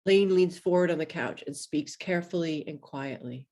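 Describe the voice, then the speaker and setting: A woman's voice: low-pitched, moderate pace, a little mellow. A woman reading scripted stage directions as the narrator of a table read.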